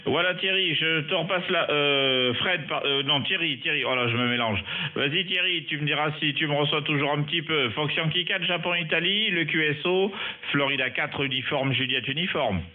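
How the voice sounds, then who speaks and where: Man on muffled amateur radio, halting technical chat. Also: fairly high pitch. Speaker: amateur radio operator running a contact. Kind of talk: casual ham radio chat with phonetic call signs. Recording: muffled narrow-band amateur radio audio.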